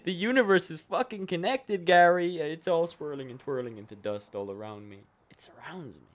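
A man playing an unhinged, wildly animated vigilante antihero. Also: fairly high pitch, moderate pace, close to an everyday voice. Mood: manic, dreamy. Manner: rambling and theatrical, swinging from whispers to outbursts. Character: unhinged, childish, foul-mouthed male vigilante antihero killer. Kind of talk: dark comedic radio play acting.

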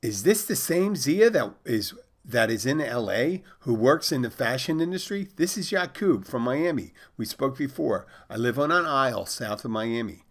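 A man's voice: medium pitch, animated, normal speed. A man voicing a naive fourteen-year-old Polish expat boy, reading out stilted, overly formal text messages. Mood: earnest deadpan.

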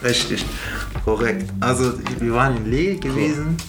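A man's voice: medium pitch, normal speed, music in the background. A young man from Iran speaking German, a student co-hosting a casual podcast, rambling and searching for words. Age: young adult. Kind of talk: casual chat.

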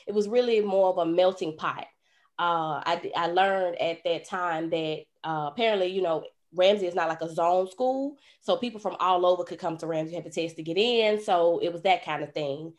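A young woman talks on a podcast. She tells her story in a chatty, lively way, with an easy conversational flow. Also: fairly low pitch, moderate pace. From Birmingham, Alabama. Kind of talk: casual podcast chat.

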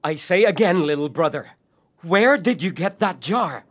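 Casting: an impatient, greedy older brother for a kids' audio drama, demanding and highly expressive. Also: male voice, normal speed. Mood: demanding, indignant. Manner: demanding, blustering. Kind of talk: children's audio drama acting.

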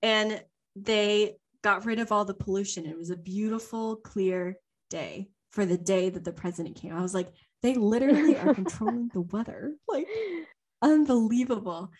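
A woman's voice: medium pitch, normal speed, a little mellow. Rambling young woman podcast host. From Louisiana. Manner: rambling, with many ums and easy laughter. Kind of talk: casual chat, unscripted storytelling.

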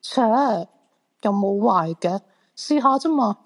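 A woman's voice: medium pitch, lively intonation, slow pace. A woman acting a cheeky, flirty fortune-teller in a Cantonese radio drama, teasing in colloquial Hong Kong speech. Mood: teasing, playful. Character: cheeky, flirty female fortune-teller friend, eager to see ghosts.

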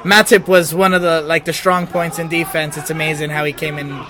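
A man from Jersey City, talking eagerly about a football match in a bar, with many pauses and fillers. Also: fairly high pitch, flat delivery, background noise. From Jersey City, USA. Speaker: football fan interviewee. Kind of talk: post-match fan talk. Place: crowded bar.

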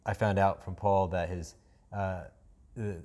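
A man's voice: low-pitched, fairly even intonation, a little slow, dark tone. A man with a New Zealand accent hosting a casual podcast chat, enthusiastic and rambling, with many ums and restarts. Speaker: podcast host.

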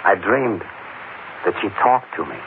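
A hard-boiled, shrewd crime photographer in the manner of 1940s radio drama. He speaks slangily and deliberately, with wide swings of intonation. The recording is thin and tinny, with faint noise. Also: fairly low pitch, lively intonation. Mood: coolly insinuating.

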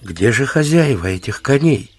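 An actor playing a genial, inquisitive monk with an unhurried theatrical delivery in an audio play. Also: male voice, mid-range voice, very animated, breathy edge. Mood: curious, cautious. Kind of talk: Russian audio play acting.

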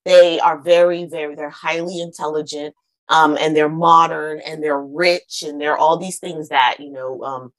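A woman's voice: low voice, fairly even intonation, moderate pace. A woman author from the United States, answering interview questions in a chatty way with many fillers and restarts. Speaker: author guest.

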